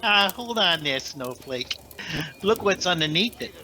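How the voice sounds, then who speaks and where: A man's voice: high voice, lively intonation, a little slow, over music. An actor playing a brash, wisecracking male Christmas elf in an old-time radio comedy. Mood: teasing.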